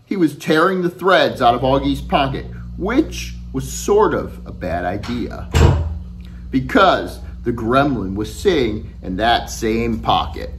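A middle-aged man, an author reading his children's novel aloud to camera in an expressive, deliberate storytelling manner. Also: medium pitch, noisy background. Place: furnished room at home, indoors.

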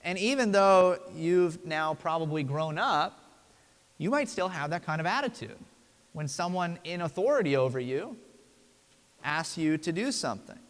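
Pastor, male, 30s; relaxed sermon from the pulpit, higher voice, long sanctuary reverb. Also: moderate pace. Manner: conversational, frequent tag "right?". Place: church sanctuary.

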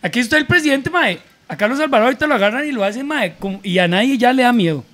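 High-voiced Costa Rican man in his forties. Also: rapid-fire. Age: 40s. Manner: animated storyteller, slangy, many restarts. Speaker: guest. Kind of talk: casual podcast chat. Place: small room with a mic on a table. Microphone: studio mic with headphones.

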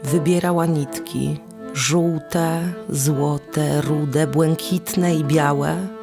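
A woman's voice: deep voice, fairly even intonation, very slow, vocal fry. A woman narrating a fairy tale expressively, with lyrical, rhythmic phrasing. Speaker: storyteller. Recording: music behind the voice. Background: instrumental music.

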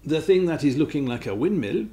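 Eager, courteous Frenchman, as a storyteller puts him on with a French accent. Lively pitch and a gravelly edge. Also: a little fast. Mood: helpful. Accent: French, put on. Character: polite helpful Frenchman, adult male.

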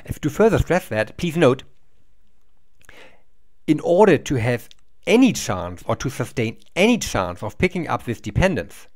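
A middle-aged man lecturing from a small room to a webcam. His pitch moves up and down a lot as he explains step by step, and he often repeats or restarts a word mid-sentence. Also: big pitch swings, normal speed, long pauses, darkish tone. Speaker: university lecturer. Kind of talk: recorded lecture over slides.

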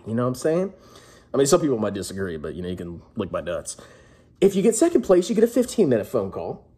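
Casting: male vlog host, thirties, rough-edged husky voice, lively swooping delivery, emphatic joking showman. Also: medium pitch. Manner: brash, jokey, emphatic showman. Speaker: personal finance vlogger, host. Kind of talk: casual announcement to camera. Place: small indoor room at home.

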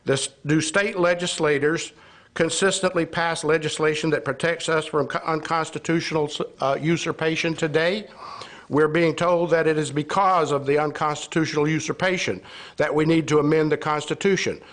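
A man's voice: a little high, steady tone, normal speed, fairly bright tone. A man in his sixties giving a prepared speech at a public hearing into a podium microphone, argumentative and sarcastic, posing rhetorical questions. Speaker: citizen speaker at a legislative hearing. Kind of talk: prepared speech read from notes. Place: indoor legislative hearing room with seated audience. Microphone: gooseneck podium microphone.

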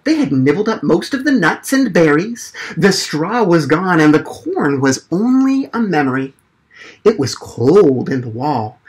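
A man, telling a picture-book story to children in a gentle, expressive manner. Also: fairly high pitch, slightly rough. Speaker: library storytime performer. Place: small indoor room. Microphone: camera mic at arm's length or further.

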